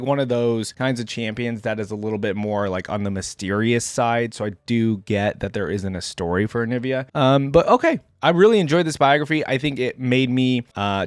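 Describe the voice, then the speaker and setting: A man hosting a gaming reaction video, reading aloud and commenting casually, thinking out loud in a conversational way. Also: fairly low pitch, dry recording, studio quality.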